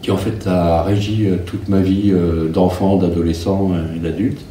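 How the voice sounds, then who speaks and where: A middle-aged man with a very low voice and Russian as his native language, telling his own story slowly and thoughtfully, stopping often to search for words. He sounds set back from the mic in a roomy space, with a faint hum of ambience behind him. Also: steady tone, mellow, far from the mic. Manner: hesitant, many pauses and fillers. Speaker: teacher being interviewed. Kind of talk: reflective personal recollection.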